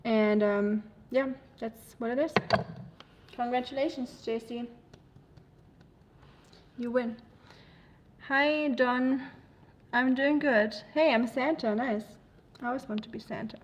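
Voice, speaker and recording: A woman's voice: a little high, slow, long pauses, muffled recording, a little hiss or hum. A young woman from Germany, hosting a livestream and chatting frankly with viewers, with lots of ums and likes. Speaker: livestream host. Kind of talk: casual chat reading viewer comments.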